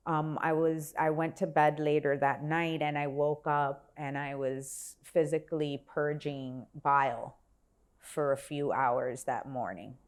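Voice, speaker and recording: A woman's voice: deep voice, steady tone, a little slow, smooth. A woman in her forties from Miami, speaking as an interview guest in a reflective, searching way, with fillers and restarts. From Miami, Florida. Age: 40s. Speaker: guest, healer and yoga instructor. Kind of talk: casual interview conversation.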